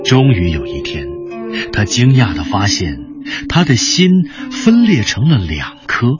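A man narrating a radio drama in a measured, expressive storytelling style. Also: fairly low pitch. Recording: dull recording under loud music.

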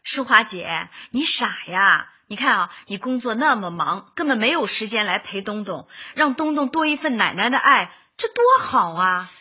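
An actress playing an earnest, friendly young community officer in a Chinese radio drama, with an eager, breathy delivery. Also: female voice, mid-range voice, animated, normal speed, hardly any pauses, sharp tone, dull audio. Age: young adult. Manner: eager, persuading.

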